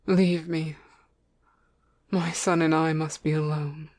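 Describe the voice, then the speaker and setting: Woman acting a haughty aristocratic mother, deep female voice, deliberate pace, stern declamation, audiobook drama. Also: level tone, darkish tone. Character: haughty, commanding aristocratic mother, cold and imperious.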